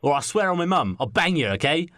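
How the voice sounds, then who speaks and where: A man plays a cocky, blunt townsman in a comic radio play. His pitch swings freely as he talks in a slangy, matey way, quick to threaten. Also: very animated, fairly bright tone, no room sound, clean studio sound.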